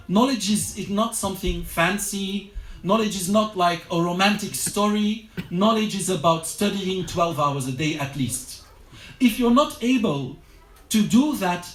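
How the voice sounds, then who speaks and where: A man giving a religious lecture to a study circle, earnest and exhortative, often restarting his sentences. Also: high voice. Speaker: religious teacher. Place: lecture room. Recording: roomy echo.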